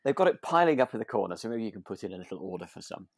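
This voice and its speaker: A British man co-hosting a podcast in relaxed, conversational chat, agreeable and tentative in his phrasing. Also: on the low side, rapid-fire, no room sound, studio quality. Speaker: podcast co-host. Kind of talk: relaxed casual chat about a radio drama.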